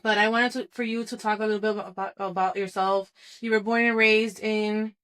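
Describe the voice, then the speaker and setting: A woman hosting a podcast interview, asking short follow-up questions in a warmly probing way. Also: mid-range voice, steady tone, a little fast, clear, resonant tone. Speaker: podcast host. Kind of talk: casual interview.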